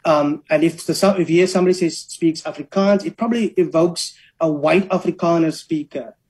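A linguist, explaining language policy in a measured, academic way during an interview. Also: mid-range voice, darkish tone.

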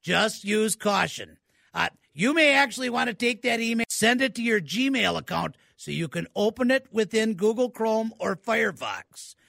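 A man's voice: sits high, lively intonation, normal speed, creaky, pushed and bright, dry recording, clean studio sound. A man, co-hosting a radio call-in show and giving tech advice with enthusiasm, repeating words for emphasis. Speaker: tech expert co-host.

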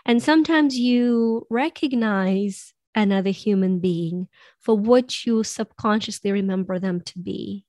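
A woman with a raspy voice speaks as a coach in a relaxed conversation. She explains things thoughtfully, often slipping in "you know." The sound is dry and close, with no room around her. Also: mid-range voice, no room sound. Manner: reflective, explains with many "you know" asides. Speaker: possibility coach. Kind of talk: podcast conversation on soulmates.

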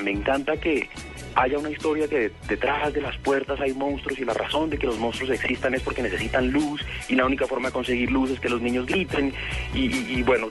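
Man, radio host, casual rambling chat, faint music underneath. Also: medium pitch. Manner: chatty, rambling, with fillers. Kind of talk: casual radio talk and interview.

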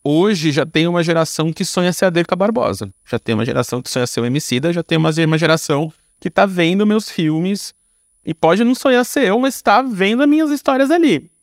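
Brazilian man with a slightly high voice. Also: expressive, a little fast, no echo, studio quality. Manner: informal, slangy, with frequent tag questions. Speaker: guest, screenwriter and filmmaker. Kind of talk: casual conversational interview answers.

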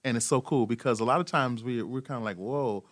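A middle-aged man, a guest in an interview, talking about his son in a relaxed, rambling way with lots of fillers. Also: mid-range voice, a little fast, dry recording. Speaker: guest, parent. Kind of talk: casual interview conversation.

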